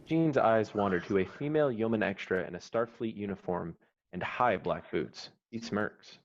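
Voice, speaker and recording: A man's voice: fairly low pitch, normal speed. A man reading scripted stage directions as narrator for an online screenplay table read. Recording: dull lo-fi online call audio.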